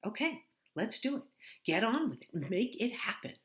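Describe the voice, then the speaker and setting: A woman's voice: on the high side, very animated, moderate pace, voice pushed up. A woman voicing an odd, blunt adult sister who talks in clipped, sing-song phrases and stresses the wrong words. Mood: brisk.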